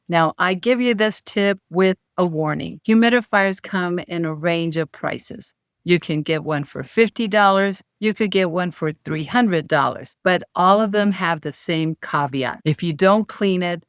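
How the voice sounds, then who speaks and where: A woman's voice: fairly low pitch, smooth, no room sound, clean studio sound. A woman hosting an instructional video, giving plain and direct tips on caring for the voice. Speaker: host and voice-care instructor.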